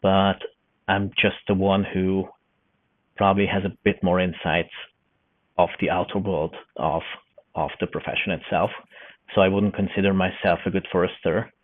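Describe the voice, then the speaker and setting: A middle-aged man with a Hungarian accent, a guest talking in a relaxed interview and searching for words with many fillers. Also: low voice, normal speed, no echo. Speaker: podcast guest, newsletter founder. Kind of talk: casual interview talk. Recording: tinny, dry remote call line.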